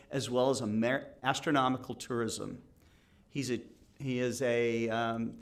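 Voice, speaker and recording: A chatty man in his sixties hosting a casual online show. He rambles through announcements with plenty of fillers and laughs easily, at a middle pitch. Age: 60s. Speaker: show host. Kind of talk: casual chat.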